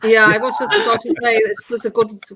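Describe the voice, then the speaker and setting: A woman's voice: a little high, sing-song, fairly quick, sharp tone, a little hiss or hum. A woman hosting a casual livestreamed book discussion. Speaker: discussion host.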